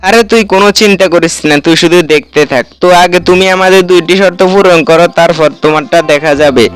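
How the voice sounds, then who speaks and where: A man's voice: sits high, fast talker, faint music behind, lightly acted. A man playing a confident, protective older brother, a clever boy in a children's fairy-tale cartoon, speaking reassuringly.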